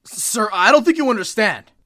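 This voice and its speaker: A man's voice: sits high, lively intonation, moderate pace, sharp tone. A man voicing a strict, proud male bird guild assistant in a fan comic dub, formal and lecturing. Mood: exasperated.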